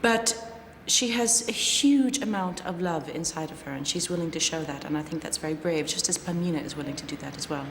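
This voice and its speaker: A woman opera singer speaks about her part in an interview, choosing her words with care. Her speaking voice sits slightly low. The sound carries the long echo of a hall, with faint noise behind. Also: a little fast, few pauses. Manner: thoughtful, articulate.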